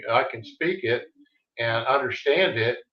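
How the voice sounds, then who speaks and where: A man's voice: medium pitch, a little slow. Texan man telling stories in a roomy space. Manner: rambling, chatty storyteller. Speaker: fiction author guest. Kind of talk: casual interview talk. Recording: dull, roomy video call audio.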